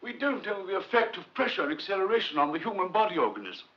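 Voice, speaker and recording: A man's voice: sits high, sing-song, fast, a bit bright. Cautious male professor, muffled film. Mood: concerned, firm. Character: cautious, responsible male professor heading rocket project. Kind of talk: 1950s film drama acting. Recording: muffled 1950s film soundtrack.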